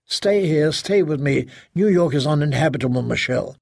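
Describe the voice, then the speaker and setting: A man's voice: fairly high pitch, expressive, moderate pace, creaky, no room sound, clean studio sound. A man playing a protective, worried father in an audiobook, earnest and tender with his grown daughter. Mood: alarmed, disbelieving. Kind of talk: dramatic audiobook character reading.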